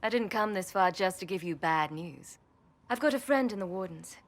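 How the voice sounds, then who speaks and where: An actress playing a weary, modest champion in a fantasy video game, measured and reflective. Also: female voice, on the low side, lively intonation. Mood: grave, informative. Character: weary, modest female champion, sober and helpful. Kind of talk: video game dialogue acting.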